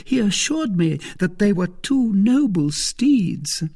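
A man's voice: sits high, very animated, takes it slowly, warm tone, pitched above the performer's own voice, heavily acted. A man voicing a flustered, anxious middle-class wife in an audiobook novel, pleading and apologetic. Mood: defensive, apologetic.

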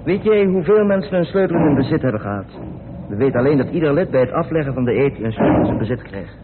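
An actor playing a grumbling, sceptical amateur sleuth in a radio drama, with a dry, sardonic manner. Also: male voice, a little high, animated, fast, close to an everyday voice. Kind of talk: Dutch radio drama acting. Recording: noisy, tinny old radio recording.

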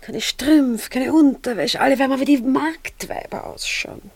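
An actress playing a snobbish, self-pitying Viennese baroness in a radio play, plaintive and affected. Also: female voice, sits high, measured pace, no echo. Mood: wailing self-pity. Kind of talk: radio play acting in dialect.

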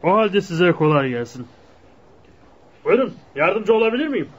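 A courteous shopkeeper in a radio play. His voice is fairly high for a man and his manner formal, on a dull lo-fi recording. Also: very animated, normal speed, breathy edge, full character voice. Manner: formal, polite, hesitant. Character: courteous, businesslike male shop attendant. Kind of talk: radio drama acting.

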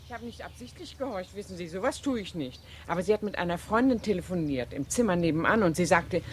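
A woman's voice: lowish voice, lively intonation, quick pace, slight background noise, natural voice. An actress in a 1970s German radio play playing a chatty, falsely naive cleaning woman who feigns discretion. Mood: confiding. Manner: chatty, mock-innocent.

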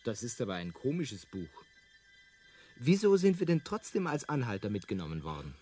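A man's voice: mid-range voice, big pitch swings, normal speed, very noisy, close to an everyday voice. An actor playing an anxious, bewildered Earthman stranded in space in a radio drama, hesitant and incredulous in his questions. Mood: puzzled.

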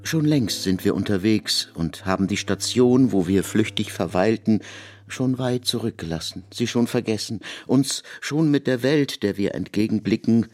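A man narrating literary prose in a measured, expressive storytelling manner. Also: lowish voice. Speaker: narrator of a literary radio adaptation.

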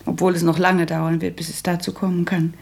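A resolute, prim young woman in a radio play. Her voice is very raspy and gravelly at a middle pitch, and she talks in a firm, matter-of-fact way. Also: little pitch movement, fairly quick, a little mellow. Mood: firm, practical. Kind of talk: German radio drama acting.

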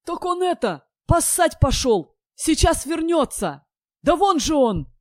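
Someone doing a high-strained, coarse middle-aged boozer. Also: big pitch swings, slow pace, no echo, clean studio sound, heavily acted. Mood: coarse, offhand. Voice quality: hoarse. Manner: coarse, curt.

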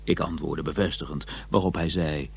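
An actor playing an educated scholar who narrates his memories in a measured, musing, wry way with a husky voice in a radio drama. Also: very deep voice, steady tone, exaggerated voice. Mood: reflective. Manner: measured, musing, deliberate pauses.

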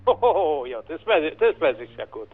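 Over a thin telephone line, an officious Austrian political aide talks down and stalls. His voice is fairly high, with lively, swinging intonation, in radio drama style. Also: moderate pace. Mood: condescending. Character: slippery, condescending male political aide. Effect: telephone filter. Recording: tinny narrow phone line.

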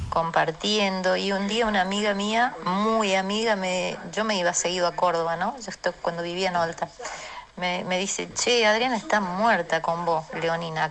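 A woman with an Argentine accent telling a personal anecdote casually, with pauses and colloquial asides. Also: on the low side, creaky, fairly bright tone, rough recording. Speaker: storyteller in a listener voice message.

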